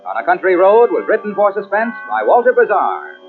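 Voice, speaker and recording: A male mid-century radio announcer with a high, very animated voice. He delivers copy with polished salesman emphasis. The sound is a tinny old broadcast. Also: brisk, faint music behind. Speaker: radio announcer, commercial pitchman. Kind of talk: 1940s-50s radio advertising announcing.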